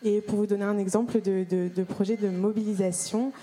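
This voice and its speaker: A woman's voice: mid-range voice, steady tone, fairly quick, dark tone. A young woman moderating a conference, speaking casually through a handheld mic with many fillers and restarts. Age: young adult.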